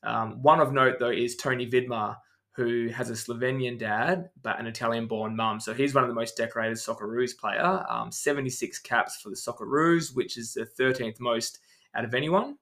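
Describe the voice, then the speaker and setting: A man's voice: medium pitch, fairly even intonation, moderate pace, gravelly, fairly bright tone. A man co-hosting a casual podcast, explaining things at length and running through lists of names with frequent ums. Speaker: podcast co-host.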